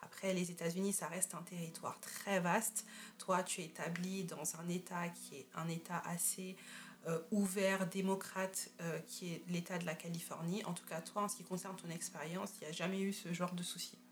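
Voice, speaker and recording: A woman's voice: fairly low pitch, level tone, moderate pace. A woman in her thirties hosting a casual interview podcast, framing her questions at length. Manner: reflective, asks long framing questions. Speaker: podcast host.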